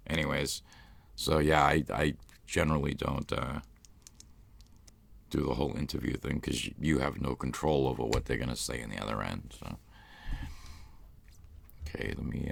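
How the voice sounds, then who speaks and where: A man's voice: extremely low pitch, creaky, a bit bright, no echo. A man with a Boston accent, hosting a live stream in loose, rambling casual chat. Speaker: ASMR channel host.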